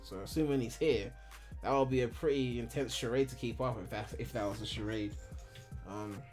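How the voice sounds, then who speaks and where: A young man from Ghana talks loosely to his stream from home, piling on "like" and curse words as he rambles. His voice sits at an ordinary middle pitch, with soft music playing faintly behind him. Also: normal speed. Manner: rambling and candid, heavy on filler "like", swears freely. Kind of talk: casual live-stream chat answering viewers.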